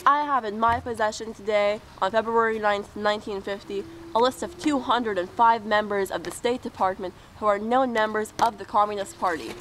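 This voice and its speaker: A woman's voice: medium pitch, moderate pace, hardly any pauses, fairly bright tone, natural voice. Woman playing a self-righteous senator, noisy recording. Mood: grave, accusing. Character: self-righteous, unyielding male American senator in a puppet show. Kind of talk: amateur puppet show interview and speech.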